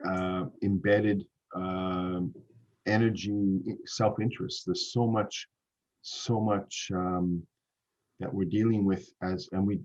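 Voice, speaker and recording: A very low-pitched middle-aged man with a Canadian accent, answering questions on a video call. He talks haltingly, with many fillers and false starts. The audio is dull and lo-fi, like a compressed online call. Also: darkish tone. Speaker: company founder presenting. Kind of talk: seminar Q&A answers.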